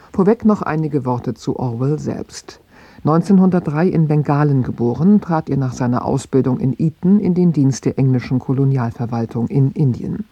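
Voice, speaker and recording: A man's voice: medium pitch, warm tone, no room sound. A man presenting on radio, reading a scripted introduction in a formal, factual manner. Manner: formal, factual read delivery. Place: radio studio. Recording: dry, slightly dull radio studio.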